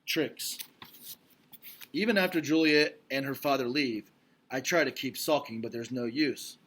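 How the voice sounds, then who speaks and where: A man's voice: medium pitch, measured pace, fairly bright tone. A man reading a children's storybook aloud as narrator. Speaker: read-aloud reader.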